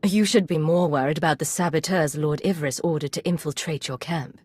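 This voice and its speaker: Low, husky secret agent. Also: female voice, fairly quick, darkish tone. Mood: persuasive. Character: cool, composed female imperial spy. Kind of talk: video game character acting.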